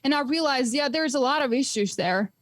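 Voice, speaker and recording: A woman's voice: high voice, animated, brisk, dry recording, studio quality. A woman in her twenties from Finland, a podcast guest talking in a reflective, casual way with frequent fillers and restarts. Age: 20s.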